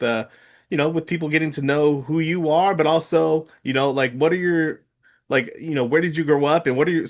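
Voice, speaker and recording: A man in his mid-thirties hosting a sports podcast, talking casually with lots of filler words and restarts. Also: fairly high pitch. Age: 30s. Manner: halting, heavy with fillers and restarts. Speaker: podcast host. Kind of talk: casual interview chat.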